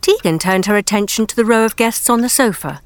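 A woman playing a poised young narrator, measured, precise and wry in an audiobook story. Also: medium pitch, natural voice.

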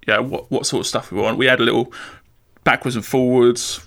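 A man's voice: mid-range voice, steady tone, brisk, a bit bright. A man speaking as a guest musician in casual chat, rambling and informal in a colloquial way.

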